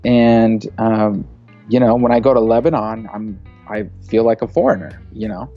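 Rambling man with a low voice. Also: moderate pace, mellow, muffled recording, soft background music. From United States. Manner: rambling, full of fillers like "you know" and "like". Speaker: podcast guest, filmmaker. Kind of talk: casual interview.

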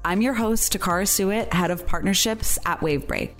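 Low-voiced woman podcast host. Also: fairly quick, fairly bright tone, faint music behind. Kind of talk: scripted intro and interview questions.

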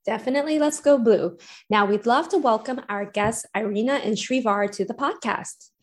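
A young woman co-hosting a student podcast, reading announcements and asking questions in a bright, scripted way. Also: a little high, normal speed. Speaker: podcast co-host.

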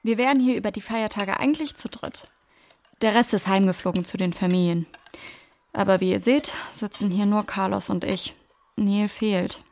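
A woman's voice: medium pitch, moderate pace, vocal fry, darkish tone, slight background noise, lightly acted. A woman playing a worried, resolute jungle station worker in a children's audio play, with an earnest, natural delivery. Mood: friendly, explaining.

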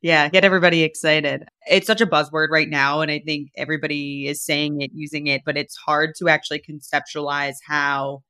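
Bright-voiced podcast host, dry sound. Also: female voice, low voice, flat delivery, fairly quick, few pauses, clean tone, no room sound. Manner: curious, conversational questioning. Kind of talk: podcast interview.

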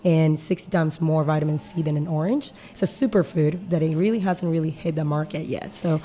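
A woman speaks in a low, mellow voice. She is a company founder in a podcast interview, earnest and thorough, explaining at length with many small fillers. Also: fairly even intonation, a little fast, a little hiss or hum. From Peru.

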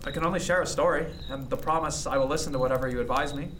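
Casting: man playing a modest eighteenth-century sea captain, earnest storyteller, mid-pitched male voice, light background noise. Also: fairly bright tone, natural voice, poor audio. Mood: earnest, thoughtful. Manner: measured, halting, earnest. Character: reflective, modest sea captain in 1717, former cabin boy. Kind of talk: period radio drama acting, storytelling.

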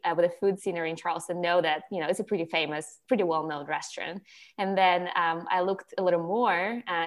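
A woman from Ukraine, hosting a conversational interview podcast. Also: lowish voice. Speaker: podcast host.

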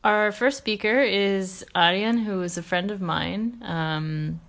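A woman co-hosting a podcast, introducing the guest in a thoughtful, measured way with frequent fillers. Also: medium pitch, a bit bright. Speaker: podcast co-host.

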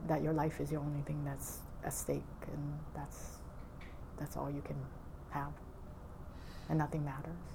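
Low-voiced woman. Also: level tone, gravelly, background noise. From Vietnam. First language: Cantonese. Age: 40s. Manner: halting, soft-spoken, frequent laughs. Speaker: interviewee. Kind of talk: oral history interview.

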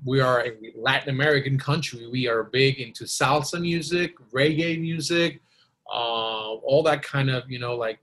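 A man's voice: mid-range voice, fairly bright tone. A man from Costa Rica, a native Spanish speaker, chatting informally and telling anecdotes as a podcast guest. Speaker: podcast guest, blues guitarist. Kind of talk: casual storytelling in an interview.

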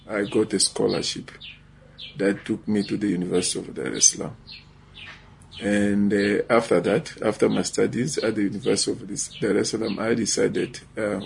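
A man in his forties from Eastern Congo, telling his own story in an interview with halting pauses and restarts. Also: on the low side, gravelly, a little hiss or hum, poor audio. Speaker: social entrepreneur. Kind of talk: personal account in interview.

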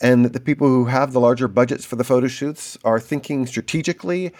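A man hosts an interview and works through long ideas out loud before putting them to his guest. His manner is probing and thoughtful, with mid-range pitch. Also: steady tone, fast talker. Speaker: interviewer host. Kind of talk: interview questions.

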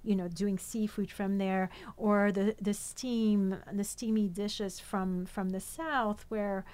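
A woman who grew up in France, talking in a relaxed interview about food and language. She is chatty and loose, full of fillers and restarts, and her pitch sits in an ordinary middle range. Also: takes it slowly. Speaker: cookbook author guest. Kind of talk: casual interview chat.

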